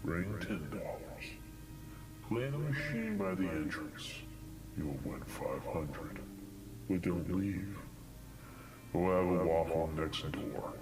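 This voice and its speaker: Very deep, slow sinister TV entity. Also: male voice, lively intonation. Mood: calmly instructing. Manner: slow, coaxing, menacingly polite. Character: sinister, hungry entity speaking from a television, falsely friendly. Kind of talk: analog horror film acting. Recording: loud music under the voice.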